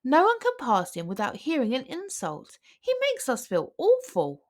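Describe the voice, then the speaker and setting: A woman's voice: high-pitched, huge pitch swings, moderate pace, voice pushed up, full character voice. A woman voicing an earnest, emphatic male scorpion in a children's storybook. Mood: aggrieved.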